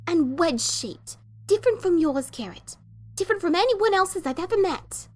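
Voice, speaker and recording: Woman as cartoon pony mother, high voice, swooping intonation, anxious, stubborn, audio drama. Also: animated, normal speed, darkish tone. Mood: anxious, insistent. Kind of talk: fan audio drama dialogue.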